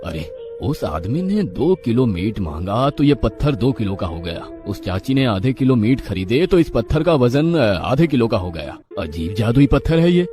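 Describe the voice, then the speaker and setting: A man's voice: medium pitch, big pitch swings, moderate pace, close to an everyday voice. A man voicing a simple, devout village butcher in a cartoon, earnest and apologetic. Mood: puzzled. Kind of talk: cartoon voice acting. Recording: background music under voice.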